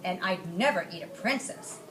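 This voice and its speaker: Lady reading as a fancy chatty gentleman dragon from a kids book, bit far from the mic, echoey. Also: fairly low pitch, expressive, normal speed. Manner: chatty, flowery, self-satisfied. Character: genteel male dragon, theatrical, cultured aesthete. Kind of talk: children's storybook read-aloud. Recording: roomy, distant mic, faint noise.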